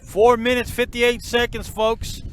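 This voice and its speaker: A man calling a high school football game outdoors, folksy and joking with the crowd and his partner. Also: little pitch movement, unhurried, a bit bright, a little hiss or hum. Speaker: play-by-play announcer.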